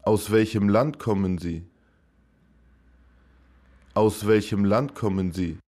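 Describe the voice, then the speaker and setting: Man, language teacher, slow repeated drill, very unhurried, lowish voice. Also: mellow. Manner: says each phrase three times, slow and clear.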